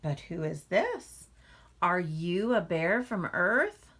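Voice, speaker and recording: A woman voicing a patient, gently teasing mother bear from a storybook in a measured, motherly, matter-of-fact way. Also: fairly low pitch, sing-song, husky, full character voice. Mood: playful.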